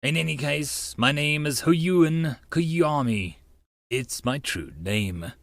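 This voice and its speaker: Guy doing a pompous young mad scientist, paranoid anime type, super dramatic, swingy pitch, dry sound. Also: animated, slow pace, vocal fry, no echo, full character voice. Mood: pompous, indignant. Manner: pompous, theatrical, grandiose.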